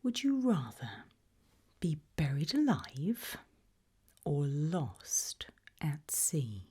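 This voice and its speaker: A woman softly whispering an ASMR roleplay, questioning the listener in a deliberate, gently ominous way. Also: deep voice, big pitch swings. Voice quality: soft breathy whisper, mostly unvoiced.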